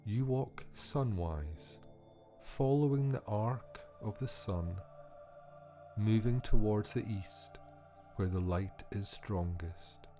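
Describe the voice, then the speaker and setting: A man reading scripted guided-meditation narration in a soothing, unhurried manner with measured pauses. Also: lowish voice, mellow, soft background music. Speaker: podcast host and meditation guide.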